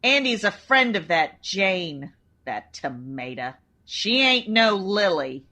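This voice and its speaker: Bright, swooping melodramatic chorus girl. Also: mid-range voice, sing-song, takes it slowly, full character voice. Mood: lovesick, brash. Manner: melodramatic, slangy old-time tough-dame talk. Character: brash, lovesick, superstitious young chorus girl, old gangster-moll type. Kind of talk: comedic period radio-drama acting.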